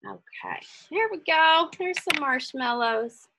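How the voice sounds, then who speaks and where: A woman with a high voice that swings widely in pitch and often drops into creak. She talks like a casual craft instructor walking learners through each step. Her delivery is chatty and encouraging, thinking out loud as she goes. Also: lively intonation, slow pace, noisy background. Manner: chatty, encouraging, thinks aloud while working.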